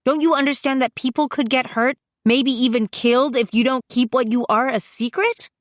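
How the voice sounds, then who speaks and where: A woman's voice: a little high, unhurried, no room sound, studio quality, pitched above the performer's own voice, natural voice. A woman voicing a fiercely protective college-age sister in an audiobook, emotional and exasperated, with an emphatic, pleading delivery. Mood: angry, alarmed. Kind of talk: dramatised audiobook dialogue.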